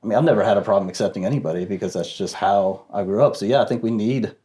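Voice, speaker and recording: A man speaking as a guest in a discussion, reflective and soft-spoken, with many pauses and fillers. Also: lowish voice. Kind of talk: casual discussion.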